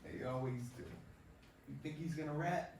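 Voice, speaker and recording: Heard from a distance in an echoey hall, a man plays a cocky star ballplayer in a stage drama. He is bullying, crude and defiant, at a medium pitch. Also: moderate pace, gravelly, a little mellow, natural voice. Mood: smug. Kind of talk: staged play reading. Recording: echoey hall, distant mic, faint noise.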